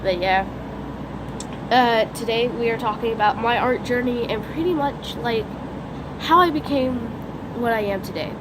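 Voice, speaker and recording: A woman's voice: on the high side, moderate pace. A teenage girl with a stuffy nose and sore throat, rambling unscripted in a casual vlog, filled with "like", filmed outdoors on a phone. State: congested, sniffly, sore throat. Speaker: vlogger. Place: outdoors next to a lake, during a lightning storm. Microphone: phone camera. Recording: outdoor phone recording with background noise. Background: outdoor background noise, thunder.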